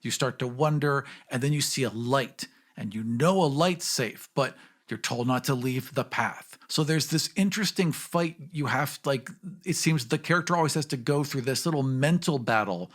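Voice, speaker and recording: A man's voice: medium pitch, moderate pace. A man talking casually and reflectively on a podcast panel, telling things in a vivid storytelling way.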